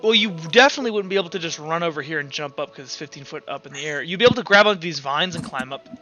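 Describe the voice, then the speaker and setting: A man's voice: on the high side, animated, rapid-fire, bright, forceful tone, muffled recording, faint music behind. A man acting as game master, casually narrating a tabletop roleplaying game.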